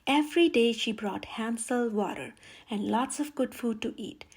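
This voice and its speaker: A woman's voice: a little high, moderate pace, husky. A woman storyteller reading a children's picture book aloud as scripted narration. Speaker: storyteller, channel host.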